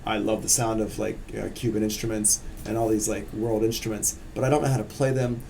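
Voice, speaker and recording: Relaxed gravelly man teaching beats. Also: lowish voice, fairly quick, slight background noise. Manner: casual, relaxed explaining while working on screen. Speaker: music production instructor. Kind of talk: live-streamed software tutorial.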